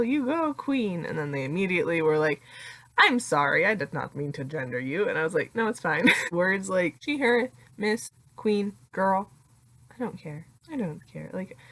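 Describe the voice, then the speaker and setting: A young adult woman with a deeper voice talking casually in a confessional vlog, full of "like" fillers and laughing often. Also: fairly bright tone. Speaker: vlogger.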